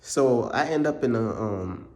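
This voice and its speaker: A man's voice: mid-range voice, expressive, unhurried, darkish tone. A young man from Uganda telling his life story in a casual, rambling way, calling the listener "bro" over and over. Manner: laid-back, rambling, says "bro" constantly. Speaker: faith vlogger. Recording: echoey room.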